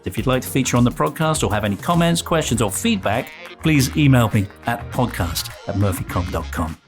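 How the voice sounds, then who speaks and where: A man's voice: mid-range voice, lively intonation, brisk, faint music behind. A middle-aged or older man hosting an interview podcast, chatting with a guest and delivering sign-offs. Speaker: podcast host.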